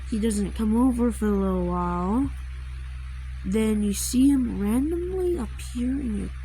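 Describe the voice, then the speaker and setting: A woman giving casual commentary while drawing, rambling and halting as the creator explains a creature design, with trailing asides. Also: fairly high pitch, lively intonation, dark tone, slight background noise, low-quality recording.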